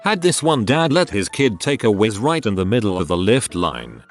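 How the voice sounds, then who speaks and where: Male narrator, deep-ish and expressive, scripted story reads, light music bed. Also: moderate pace. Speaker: narrator reading online stories. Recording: faint background music.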